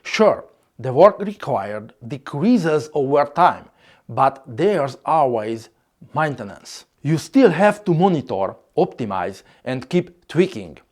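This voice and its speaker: Slow-speaking man with a Hungarian accent. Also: fairly high pitch, darkish tone. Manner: measured, slightly non-native phrasing. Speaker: YouTuber. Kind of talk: scripted vlog narration.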